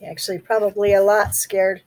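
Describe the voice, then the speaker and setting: A woman reading a children's storybook aloud expressively, pausing to put questions to young listeners. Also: medium pitch, little pitch movement, darkish tone, a little hiss or hum, poor audio. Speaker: storybook reader. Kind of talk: children's read-aloud narration.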